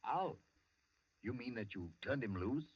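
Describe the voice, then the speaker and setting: An actor playing a loyal, genteel and hesitant friend, with a husky voice, in a 1940s radio drama. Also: male voice, mid-range voice, animated, measured pace, no room sound. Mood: astonished. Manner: hesitant, genteel, old-fashioned exclamations. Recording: dull, dry 1940s radio recording.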